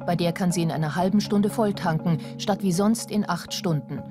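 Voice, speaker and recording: A woman's voice: on the low side, normal speed. A woman with a standard German accent reads scripted television documentary narration. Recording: music behind the voice. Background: background music.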